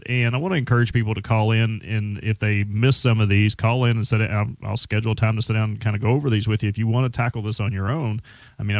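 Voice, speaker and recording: A man's voice: fairly low pitch, dry recording. A man speaking as a financial advisor on a radio show, conversational with frequent restarts. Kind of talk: casual radio talk.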